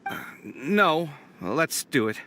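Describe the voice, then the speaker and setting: A man's voice: a little high, sing-song, slow pace, bright, forceful tone, slight background noise, full character voice. An actor playing a dramatic, proud, unlucky young man in a video game, with a theatrical, plaintive delivery. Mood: earnest, reluctant.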